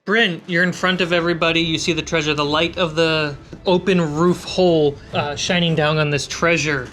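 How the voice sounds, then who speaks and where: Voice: medium pitch, fairly even intonation, normal speed, a bit bright. A game master narrating an improvised tabletop fantasy game and calling for rolls.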